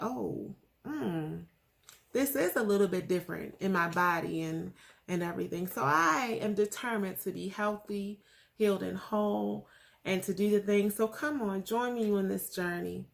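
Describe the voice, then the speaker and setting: A woman in her forties talking earnestly about her faith in a casual vlog, pausing often with fillers. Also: mid-range voice, gravelly. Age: 40s. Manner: earnest, halting with many fillers. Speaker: vlogger.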